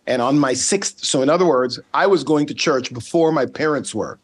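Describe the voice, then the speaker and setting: A middle-aged man from Saint Martin, a native Dutch speaker, talking earnestly as an interview guest with many pauses and ums. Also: mid-range voice, breathy edge. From Saint Martin, Caribbean. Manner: thoughtful, frequent ums, earnest. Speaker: guest, ethical hacker. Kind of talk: casual interview conversation.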